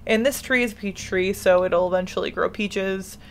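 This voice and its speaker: A woman's voice: medium pitch, steady tone, moderate pace, slight background noise. A young American woman with a slight lisp, giving a casual, rambling voiceover of her game build. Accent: General American. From United States. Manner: rambling, self-interrupting, laughs often.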